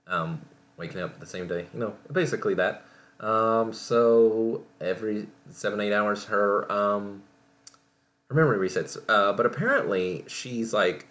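A man with a low voice talks casually on his own as a podcast host. He rambles along haltingly, doubling back to correct himself. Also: fairly bright tone. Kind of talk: casual solo talk reviewing entertainment.

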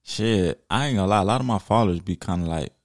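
Raspy, gravelly-voiced young man, very low. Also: fast talker, no echo, clean studio sound. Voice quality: raspy voice, laid-back mumbly delivery. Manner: relaxed, slangy, chill. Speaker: rapper guest. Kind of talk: casual podcast interview.

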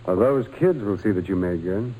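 An actor playing a kindly, encouraging religious brother with a husky voice in an old-time radio drama. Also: male voice, sits low, normal speed, warm tone, a little hiss or hum, close to an everyday voice, poor audio. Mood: gentle, encouraging.

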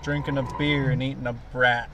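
A man's voice: mid-range voice, level tone, moderate pace, fairly bright tone, slight background noise. Laid-back young man bantering in a car. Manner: laid-back, short joking replies. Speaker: podcast co-host. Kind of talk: casual chat. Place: inside a parked car.

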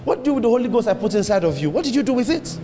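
High-voiced man preaching in a hall. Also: very animated, rapid-fire. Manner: emphatic, rising to high-pitched exclamations. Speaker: pastor. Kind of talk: sermon. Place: church hall. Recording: long hall reverb, faint noise.

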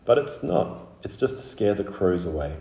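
A man's voice: low-pitched, expressive, normal speed, gravelly, mellow, roomy sound. A man voicing a candid, stubborn little girl in a storybook, matter-of-fact and childlike. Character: small young girl, candid, stubborn, easily irritated.

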